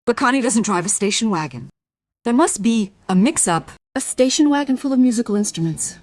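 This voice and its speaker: A woman's voice: a little high, expressive, brisk, darkish tone, dry recording, clean studio sound. A woman playing an anxious wife full of doubt in a radio drama, speaking in an insistent, questioning way. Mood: anxious, pleading. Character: anxious musician's wife doubting her husband's innocence. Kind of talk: dramatic radio play dialogue and monologue.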